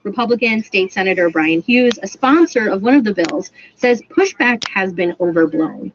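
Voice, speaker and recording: A woman delivers a scripted radio news report at a middling pitch. The sound is dull and lo-fi, with faint noise. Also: expressive, moderate pace.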